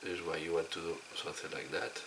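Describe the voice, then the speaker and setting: A man with a non-native accent in English, hoarse from a cold, giving halting hands-on instruction as a tutorial host. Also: sits low, steady tone. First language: not English. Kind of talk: instructional commentary while demonstrating. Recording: clearly noisy recording.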